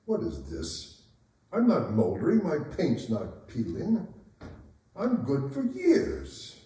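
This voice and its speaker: A man's voice: fairly high pitch, huge pitch swings, takes it slowly, warm tone, full character voice. A man playing a proud, wry, aging king in a theatrical staged reading, declamatory in manner. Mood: wry indignation. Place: arts center stage, recorded from afar. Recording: very echoey hall, distant mic.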